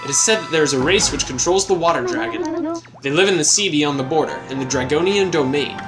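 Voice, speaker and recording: A man doing a gentle, formal queen. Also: a little high, sing-song, brisk. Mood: earnest. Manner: formal, earnest. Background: game music.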